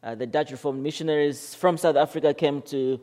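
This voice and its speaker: A man from Malawi, a guest pastor, leading a church service in an earnest, halting way with frequent fillers. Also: on the high side, steady tone, warm tone.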